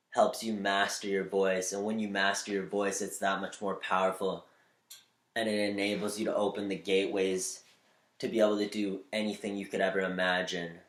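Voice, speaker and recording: Upbeat slightly nasal man, echoey room. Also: lowish voice. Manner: upbeat, spiritual, shifts between fast and slow. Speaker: vlogger giving voice advice. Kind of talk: motivational talk to camera.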